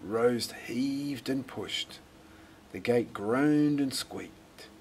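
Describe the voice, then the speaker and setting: A man reading a children's story aloud in a dramatic, deliberate way. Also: medium pitch, a little hiss or hum. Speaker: storybook reader. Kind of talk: expressive scripted children's story narration.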